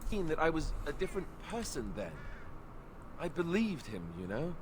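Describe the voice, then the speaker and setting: Highish, animated, awkward young warrior. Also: male voice, moderate pace. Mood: awkward, earnest. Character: earnest, awkward young warrior, boyish and cheeky. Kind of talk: fantasy video game character acting. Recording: clear background noise.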